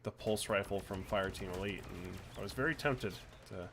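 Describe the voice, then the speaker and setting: A hoarse man with a groggy voice, co-hosting a live game stream, chatting casually and reading out viewer comments. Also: deep voice, brisk, background noise. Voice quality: groggy, gravelly. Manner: reads viewer comments aloud. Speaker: stream co-host. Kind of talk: casual gaming chat.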